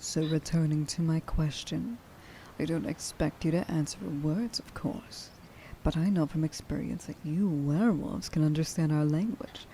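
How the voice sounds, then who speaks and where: A woman playing a stern, vengeful werewolf huntress in an ASMR roleplay, speaking to the listener with deliberate contempt and menace, often slipping into a breathy whisper. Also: low voice, dark tone, a little hiss or hum, rough recording. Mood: probing, contemptuous. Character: stern, vengeful female werewolf huntress, grieving a lost friend.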